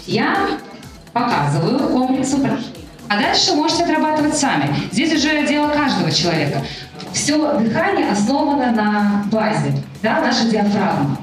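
A woman's voice: on the high side, very animated, moderate pace. Woman coach explaining breathing stuff, far off in a super echoey room. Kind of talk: workshop explanation. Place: hotel conference room. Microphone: handheld mic, far camera. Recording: roomy, distant, with music.

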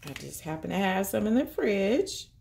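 A woman's voice: mid-range voice, very animated, moderate pace, gravelly, a little mellow, slight background noise. A woman, chatting casually and upbeat as she walks through a cooking prep at home, thinking aloud as she goes. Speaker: vegan cooking vlogger. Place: home kitchen.

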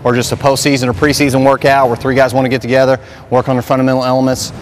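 A man's voice: medium pitch, level tone, racing, slight background noise, rough recording. A man in his thirties, a plain-spoken sports trainer, giving instructions for a drill in short coaching cues. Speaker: basketball skills trainer and coach. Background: music.

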